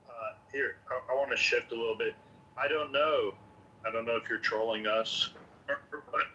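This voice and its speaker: A man with a mid-pitched voice hosts a live audio room. He talks in a halting, earnest way and searches for his words, with faint noise in the background. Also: fairly even intonation, pushed and bright, muffled recording.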